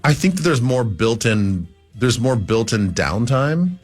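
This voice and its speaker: A man's voice: mid-range voice, big pitch swings, measured pace, soft background music. A man hosting casual sports talk on a morning radio show, repeating himself hesitantly as he reasons.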